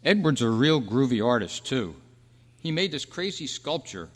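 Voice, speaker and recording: A man's voice: medium pitch, big pitch swings, moderate pace. A laid-back young man with groovy sixties slang played by a male actor talks casually in an old-time radio play. His mid-range voice carries the long reverb of a hall. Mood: breezy, nostalgic. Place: convention hall, live performance. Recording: reverberant hall stage recording.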